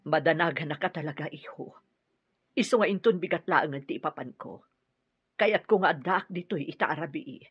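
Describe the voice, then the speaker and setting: A woman playing a worried, loving mother in a radio drama, tender and concerned, with a husky voice. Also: low voice, moderate pace, no echo. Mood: tender worry. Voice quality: husky, breathy. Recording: dull, dry radio drama audio.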